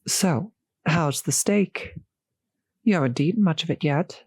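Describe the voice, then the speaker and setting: Slow, genteel female vampire, acted by a woman. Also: deep voice, darkish tone, breathy edge, dry recording, clean studio sound, full character voice. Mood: polite, reassuring. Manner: polite, formal, old-fashioned phrasing, solicitous. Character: courteous, genteel female vampire, gently seductive host. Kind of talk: intimate audio roleplay addressed to the listener.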